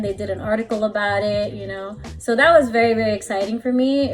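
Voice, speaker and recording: A young adult woman from the Philippines, a fashion stylist, chatting casually in an interview with lots of "like" and "you know". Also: fairly high pitch.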